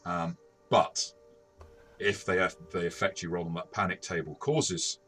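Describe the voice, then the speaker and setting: A man talking casually through a game review in a measured, explanatory way. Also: sits low, steady tone, a bit bright, soft background music. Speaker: tabletop game reviewer and game master.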